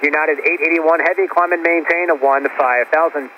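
Male departure controller, fairly high voice, muffled radio channel. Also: fairly even intonation, moderate pace. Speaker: departure air traffic controller. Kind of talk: radio instructions. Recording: dull, faintly noisy radio.

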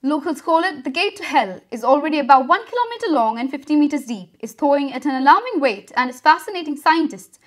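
Fast-reading young woman news anchor. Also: sits high. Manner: brisk, even headline delivery. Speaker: student news reader.

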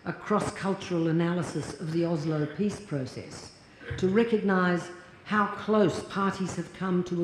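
Woman in her sixties, measured lecturer reading from notes, roomy hall, noisy capture. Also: on the low side, darkish tone. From Australia. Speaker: keynote lecturer. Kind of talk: formal read lecture. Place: large lecture hall at a podium. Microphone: lapel mic and podium mic. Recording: echoey hall, audible noise.

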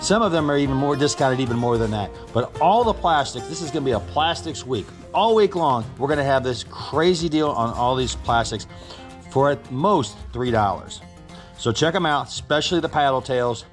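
A man's voice: mid-range voice, normal speed, soft background music. A middle-aged man hosting a casual fishing vlog from a boat on a lake, upbeat and talking straight to his viewers. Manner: upbeat, talks straight to viewers, excitable when fish bite. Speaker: fishing guide and bait seller. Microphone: action camera on the boat.

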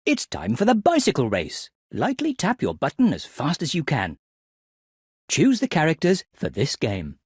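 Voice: mid-range voice, huge pitch swings, normal speed, slightly rough, no echo. A narrator of a children's game, giving scripted instructions expressively for young children. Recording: music behind the voice.

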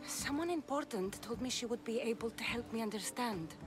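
A woman's voice: on the high side, expressive, a little fast, over music, natural voice. An actress voicing a resolute female mercenary warrior in a video game, speaking firmly and directly. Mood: earnest, determined. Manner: firm, direct, earnest. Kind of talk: dramatic video game acting.

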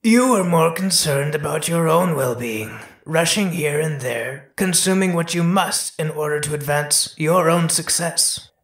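A woman voicing a slithering female yokai spirit who speaks in a slow, measured, accusing way. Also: sits low. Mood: ominous. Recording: roomy echo.

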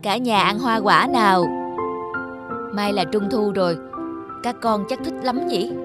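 A woman playing a kindly middle-aged neighbour who minds children in an animated tale, gentle and reassuring. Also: mid-range voice, a little slow, close to an everyday voice. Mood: caring. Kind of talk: animated moral-tale voice acting. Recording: music under the voice.

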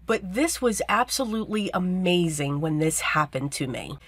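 Hoarse woman narrating a craft tutorial. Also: on the low side, animated, moderate pace. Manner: chatty step-by-step explaining with fillers. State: losing her voice, hoarse. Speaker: card-making host.

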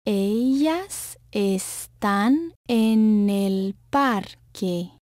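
Very slow, clear woman reader. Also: mid-range voice, a little mellow, no echo. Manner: repeats each phrase slowly and clearly. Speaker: language lesson reader. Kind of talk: slow, carefully enunciated drill reading. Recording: dry, close studio voice-over.

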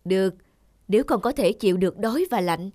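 A woman voicing a patient cartoon father who gently explains and teaches things to his young son. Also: mid-range voice, lively intonation, brisk, no echo, studio quality, close to an everyday voice. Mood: instructive. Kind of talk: cartoon voice acting.

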